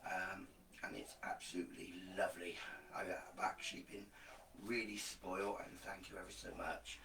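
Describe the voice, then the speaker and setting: A man with a low, groggy voice talks loosely to his live viewers. He rambles, stacks fillers and repeats words for emphasis. He sits back from the microphone in a roomy, echoey space. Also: fairly even intonation, normal speed, creaky, recorded from a distance, noisy background. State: groggy voice after illness. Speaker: live streamer promoting an online program. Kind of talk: casual live video chat to viewers.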